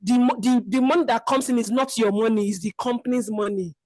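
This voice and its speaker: A woman's voice: fairly high pitch, fairly even intonation, brisk, a little mellow, no room sound. A woman asking an earnest question on a mic, talking her situation through at length. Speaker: webinar host asking a question. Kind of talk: asking a question in an online session. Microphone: separate mic.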